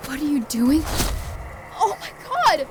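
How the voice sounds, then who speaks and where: A woman's voice: sits high, sing-song. An actress playing a young woman lost in a haunted corn maze, wary and then frightened, in a radio play. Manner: wary and frightened, quick exclamations. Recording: clear background noise and ambience.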